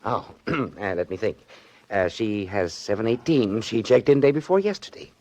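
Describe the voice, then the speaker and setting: An actor playing a stuffy, hesitant hotel desk clerk with prim, formal speech in a 1940s radio drama. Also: male voice, fairly low pitch, animated, normal speed, a little mellow, close to an everyday voice.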